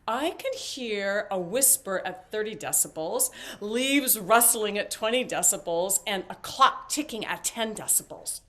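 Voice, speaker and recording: A woman's voice: medium pitch, expressive, measured pace, hardly any pauses, bright, forceful tone. An actress playing a talkative, flighty, intrusive older mother in a stage play, chirpy and theatrical as she rambles. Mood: playful, needling.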